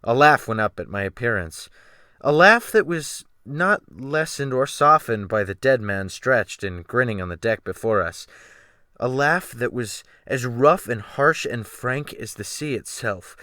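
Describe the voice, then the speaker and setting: A man narrating a classic novel as a dramatic audiobook, with deliberate, expressive phrasing. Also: medium pitch, no room sound, studio quality. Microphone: close USB desktop microphone.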